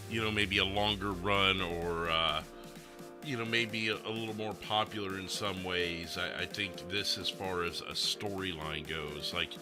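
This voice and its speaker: A man hosting a gaming podcast livestream in casual chat, often pausing mid-sentence. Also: low-pitched.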